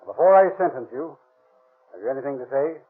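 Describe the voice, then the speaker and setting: An actor in a 1930s radio drama playing a stern judge who addresses convicted men formally. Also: male voice, mid-range voice, animated, fairly quick, breathy edge. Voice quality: formal, solemn. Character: stern male judge passing sentence. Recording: tinny old radio broadcast, off-mic.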